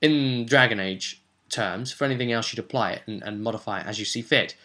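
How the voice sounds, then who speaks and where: A man's voice: lowish voice, fairly quick, bright, forceful tone. A man giving an informal advice monologue as a game master and host, chatty and emphatic, often putting rhetorical questions to the listener.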